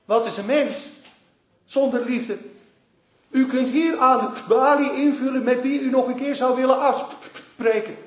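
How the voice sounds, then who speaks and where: A man's voice: very high voice, a little slow, long pauses. A man acting in a Dutch radio drama as a fussy master of ceremonies, making formal, florid announcements in an echoing room. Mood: florid, businesslike.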